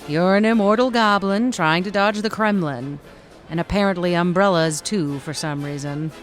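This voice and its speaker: An actress playing a cheerful young fiancée in a 1930s-set audio drama, playful, reassuring and affectionately chiding. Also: female voice, fairly low pitch, moderate pace, close to an everyday voice. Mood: teasing. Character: cheerful, teasing young bride-to-be in 1937. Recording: music under the voice.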